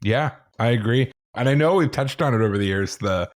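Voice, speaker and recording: A man's voice: mid-range voice, fairly quick, dry recording, clean studio sound. A man co-hosting a podcast in casual chat, agreeable and easygoing in how he chimes in. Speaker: podcast co-host.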